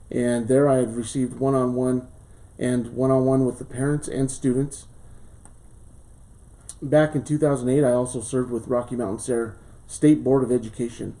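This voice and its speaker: A man in his late thirties, a school board candidate from southern Colorado, reading a formal speech and answering questions earnestly, with pauses and fillers, in a small studio. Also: mid-range voice, mellow. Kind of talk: prepared campaign speech and interview answers.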